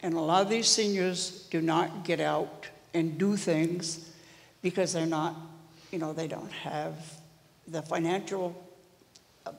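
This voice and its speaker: An older woman with a loud voice speaking emphatically at a town meeting, repeating words for stress. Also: on the low side, fairly even intonation, unhurried, creaky, long pauses. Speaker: board member of a senior association. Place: large meeting hall. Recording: long hall reverb.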